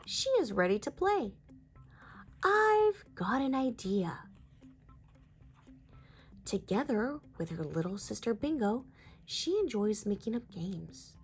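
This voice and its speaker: A woman's voice: on the high side, a little mellow. A woman reading a children's storybook aloud as scripted narration.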